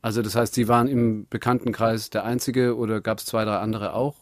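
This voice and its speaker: A man's voice: fairly low pitch, little pitch movement, a little fast, dry recording, clean studio sound. A man hosting a radio talk show, asking probing and playfully teasing questions. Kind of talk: radio interview. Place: radio studio.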